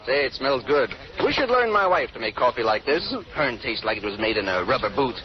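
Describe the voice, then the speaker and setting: A man's voice: mid-range voice, sing-song, a little fast, close to an everyday voice. An actor playing a folksy, wisecracking married man at a diner counter in a 1930s radio drama. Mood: jovial, joking. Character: folksy joking married male diner customer. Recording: muffled old 1930s radio broadcast.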